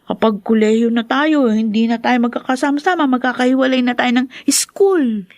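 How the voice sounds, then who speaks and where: An actress playing an eager, persuasive teenage school friend with a coaxing manner in a radio drama. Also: female voice, a little high, normal speed, dry recording, close to an everyday voice. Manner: coaxing, youthful.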